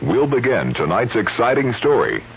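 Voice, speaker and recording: A man's voice: medium pitch, sing-song, normal speed. A man hosting and introducing an old radio program in a friendly announcer's manner. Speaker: radio station host. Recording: dull radio recording with hiss.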